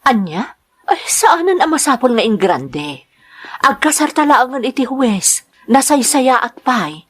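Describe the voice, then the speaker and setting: A woman's voice: sits high, big pitch swings, measured pace, a bit bright, heavily acted. A woman playing a devoted, trusting mother in an Ilocano radio drama, earnest and reassuring. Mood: hopeful. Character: devoted, trusting mother in love, working away from home.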